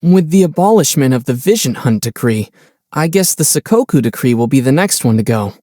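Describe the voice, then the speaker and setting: A man voicing a calm young adventurer in a video game, easygoing and measured, with a gently wry, teasing manner. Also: mid-range voice, no echo. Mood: warm, calm. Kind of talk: video game character dialogue.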